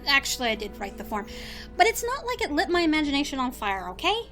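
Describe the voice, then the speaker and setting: A brusque female official played by a woman in an audio drama, speaking fairly high. She is impatient and dryly sarcastic, with music clearly running beneath her. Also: big pitch swings, quick pace, fairly bright tone. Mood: dry, exasperated. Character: brusque, sarcastic female bureaucrat interviewing a rookie. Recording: music under the voice.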